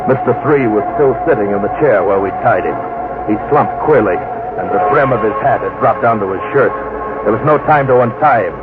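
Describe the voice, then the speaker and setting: An actor playing a grim adventurer telling of a frightening expedition in measured, dramatic old-time radio style. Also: male voice, on the low side, expressive, lightly acted. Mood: grave, suspenseful. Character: grim male adventurer recounting a terrifying expedition. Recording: muffled old radio recording, loud dramatic music.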